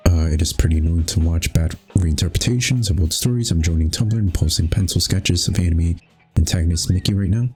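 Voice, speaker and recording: A man's voice: deep voice, a little fast, a little mellow, slightly rough. A man giving casual, sparse commentary as the host of a let's-play of a game. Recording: game music behind the voice.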